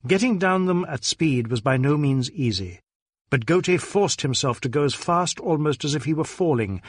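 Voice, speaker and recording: A man's voice: fairly high pitch, animated, moderate pace, husky, no room sound. A man reading a detective novel aloud as a dramatic audiobook narrator.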